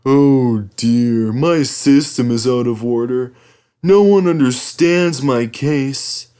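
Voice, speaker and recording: Man doing a slow, moaning, self-pitying engine. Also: medium pitch, warm tone, smooth, natural voice. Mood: woeful, moaning.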